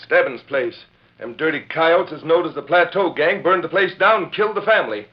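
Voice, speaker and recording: A man playing an excitable, folksy western deputy with frontier grammar in a 1940s radio drama. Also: on the high side, a little fast, lightly acted. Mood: wary. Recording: muffled old radio recording.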